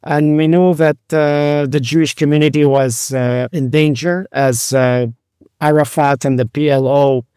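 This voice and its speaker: A man in his sixties from Lebanon, a rabbi and physician, telling his life story in an interview, speaking haltingly with many fillers and restarts. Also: fairly high pitch, fairly even intonation, mellow, clean tone, no room sound, studio quality.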